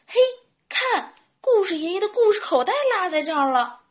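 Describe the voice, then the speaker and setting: A woman voicing eager, excitable little chicks with childlike, exclaiming speech. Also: moderate pace, dull audio. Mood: surprised, curious. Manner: exclaiming, high-spirited.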